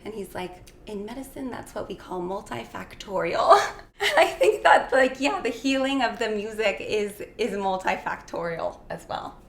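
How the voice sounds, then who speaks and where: A young woman in casual interview conversation, dreamy and full of "like" fillers, slightly hoarse. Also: medium pitch, expressive, a little slow. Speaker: guest. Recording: echoey room with faint noise.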